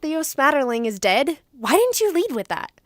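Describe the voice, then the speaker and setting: Audio drama investigator female actor: businesslike, commanding, fairly high voice, dry sound. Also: sing-song, dry recording. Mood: pressing. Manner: brisk, questioning, in command.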